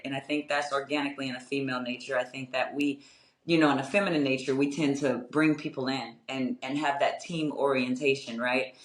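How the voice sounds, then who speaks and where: A young adult woman with a strong voice, answering interview questions in a measured, reflective way with many fillers. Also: fairly bright tone. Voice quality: strong, low-ish voice. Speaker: guest, construction company owner. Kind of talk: interview conversation. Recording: echoey room.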